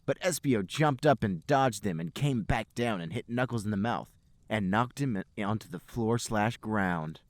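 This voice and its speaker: A man's voice: fairly low pitch, expressive, normal speed, no room sound. A man, a podcast host, reading fan fiction aloud as a storybook-like narration.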